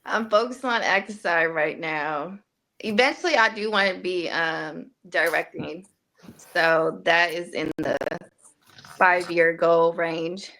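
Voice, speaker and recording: A woman, an actress guest and young mother, talking in a relaxed way and laughing easily. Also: on the low side, slow, rough recording. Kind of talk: casual interview chat.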